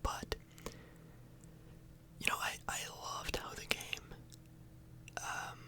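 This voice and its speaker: A woman speaks entirely in a soft, breathy whisper. She rambles casually in an ASMR style, hesitating and correcting herself as she chats to her viewers. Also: slow pace. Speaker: ASMR YouTuber, host. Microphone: microphone a little further away than usual.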